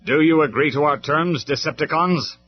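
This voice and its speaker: A man's voice: on the high side, no room sound, pitched above the performer's own voice, lightly acted. A man doing Optimus Prime, a stern robot leader. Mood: commanding. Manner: loud, measured and commanding. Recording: dull lo-fi recording, faint music.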